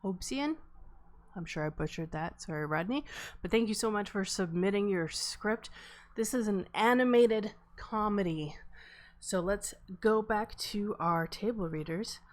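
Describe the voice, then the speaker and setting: Mid-pitched woman hosting a live stream. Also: a little slow. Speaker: host of script table read.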